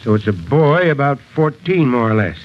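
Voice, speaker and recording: An actor playing a gruff, commanding fire chief in an old-time radio drama, blunt and blustery. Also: male voice, mid-range voice, very animated, moderate pace, natural voice. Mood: firm, confiding. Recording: noisy, muffled vintage radio recording.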